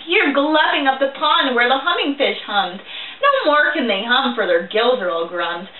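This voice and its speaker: A woman voicing a small grumpy male forest creature who scolds in croaky rhyming verse, read from a storybook. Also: high-pitched, big pitch swings, normal speed, slight background noise. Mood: scolding. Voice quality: croaky, coughing. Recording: echoey tinny recording from far off.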